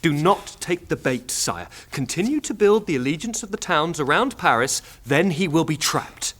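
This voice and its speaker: A man playing a loyal French duke and military commander in an audio drama, with a measured, formal delivery. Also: a little high, expressive, a bit bright. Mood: advising, resolute.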